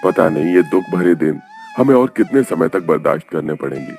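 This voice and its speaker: A man playing a weary, caring young father from a poor family in a cartoon, with a plaintive, expressive manner. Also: low voice, a little fast, soft background music. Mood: weary, sad.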